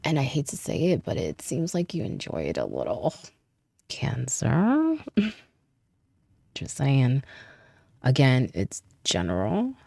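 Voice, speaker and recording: A woman's voice: extremely low pitch, vocal fry, mellow, no room sound. A young woman giving a casual tarot reading, stuffy from a cold, chatty and laughing often. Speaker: tarot reader.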